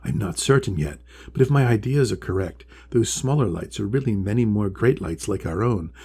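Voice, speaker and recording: A man voicing a huge, ancient, wise male sea creature from a storybook, friendly and measured, with a deep voice. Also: expressive, warm tone, slight background noise, lightly acted. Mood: hushed, excited. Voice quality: deep, resonant. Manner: slow, measured, kindly pronouncements. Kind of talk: storybook character voice.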